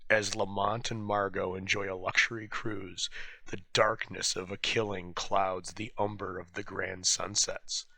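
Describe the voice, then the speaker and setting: A man's voice: deep voice, level tone, measured pace, vocal fry, bright, forceful tone, no room sound. A man giving a dramatic, portentous scripted introduction as host of an old-time radio show. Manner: theatrical, portentous.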